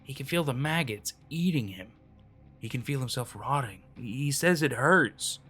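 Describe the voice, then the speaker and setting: A man's voice: fairly high pitch, very animated, normal speed, a bit bright, voice pushed up. A man voicing a panicked, paranoid young man who hears voices, whispering and screaming in fear, with faint music behind. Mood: terrified whisper. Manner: frantic, pleading, screaming.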